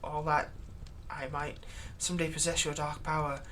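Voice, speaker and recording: A man's voice: on the high side, flat delivery, normal speed, a bit bright, slight background noise, lightly acted. A man playing a servile, nervous vampire's thrall in a roleplay audio drama, stammering and deferential. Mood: wistful, bitter. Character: servile, nervous male vampire's thrall, bitterly eager to please.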